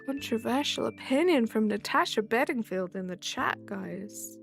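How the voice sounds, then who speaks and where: A young woman talks casually into a desk mic as she plays a game live, answering her chat in an easy, friendly way. Her voice sits a little high for a woman. Soft music plays quietly behind her. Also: expressive, moderate pace, gravelly. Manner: chatty, reads and answers chat while playing. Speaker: live streamer. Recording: faint background music.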